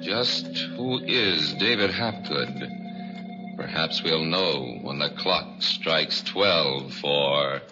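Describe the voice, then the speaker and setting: Very deep-voiced ominous male announcer. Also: animated, drawn-out words. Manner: portentous, theatrical. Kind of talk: ominous 1940s radio narration. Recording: muffled 1940s radio recording, music.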